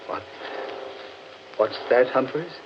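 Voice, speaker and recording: An old, muffled radio recording with heavy surface noise. a stern, practical man with a mid-pitched voice and slow, melodramatic phrasing, skeptical and easily irritated. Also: expressive. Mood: irritable, doubting. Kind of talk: 1940s radio horror acting.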